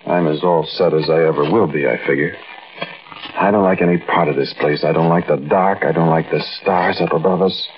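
Very deep-voiced uneasy American crook, old radio. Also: male voice, fairly even intonation. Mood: fearful, weary. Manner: conversational, brooding, halting storytelling. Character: uneasy, frightened male bank robber recounting a night heist. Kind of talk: 1940s radio drama narration. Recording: muffled, noisy old-time radio recording.

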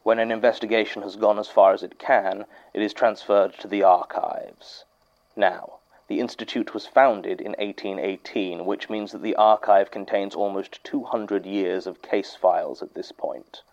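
An actor playing a weary, pedantic academic archivist who dictates formally and dryly for an audio drama. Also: male voice, on the low side, steady tone, moderate pace, creaky, a little mellow, muffled recording. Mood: dry, exasperated. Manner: formal, dry, precise. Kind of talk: audio drama monologue, dictating a recorded statement.

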